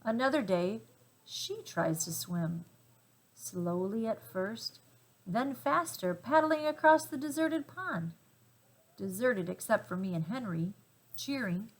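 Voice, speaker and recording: A woman reading a children's storybook aloud with gentle, deliberate phrasing and dramatic emphasis. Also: mid-range voice, animated, poor audio. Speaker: storybook reader.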